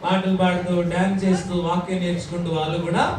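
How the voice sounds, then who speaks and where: Live kids' ministry host: encouraging, prompting, off-mic in a boomy hall with heavy crowd noise. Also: level tone, quick pace. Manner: encouraging, prompts children to respond. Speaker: children's ministry host talking with puppet. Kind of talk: live children's show hosting. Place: church hall with children. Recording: echoey hall, distant mic, loud noisy crowd.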